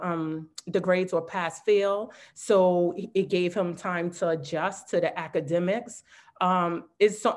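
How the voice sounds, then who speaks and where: A woman speaking as a parent on a school panel, warm and grateful with many fillers. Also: lowish voice. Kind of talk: panel discussion.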